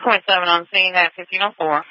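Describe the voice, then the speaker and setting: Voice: medium pitch, steady tone, fast talker, bright, forceful tone, dry recording, lo-fi sound. An emergency dispatcher on two-way radio, reading back units and times in a clipped, matter-of-fact, formulaic way. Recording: band-limited emergency scanner radio.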